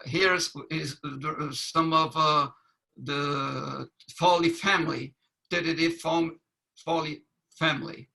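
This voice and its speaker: A man giving a webinar presentation, halting with many fillers and restarts. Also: fairly high pitch, fairly even intonation, vocal fry, sharp tone. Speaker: business presenter.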